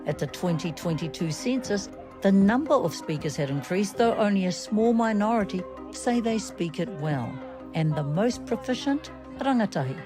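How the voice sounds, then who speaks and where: A woman's voice: mid-range voice, lively intonation, normal speed. Wry woman news reporter over music. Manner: wry, switches between English and Māori. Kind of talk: scripted news narration and interviewing. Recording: music bed behind narration.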